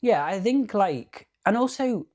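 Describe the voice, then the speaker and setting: A man in his thirties co-hosting a podcast, chatting in an animated, campy way. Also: sits high, measured pace. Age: 30s. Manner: animated, chatty, camp asides. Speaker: podcast co-host. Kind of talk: casual chat.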